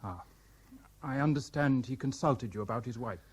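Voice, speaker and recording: An actor playing a polite, formal police inspector in a 1970s radio drama, questioning inquisitively. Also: male voice, mid-range voice, moderate pace, breathy edge, close to an everyday voice. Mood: probing. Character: polite, probing male French police inspector.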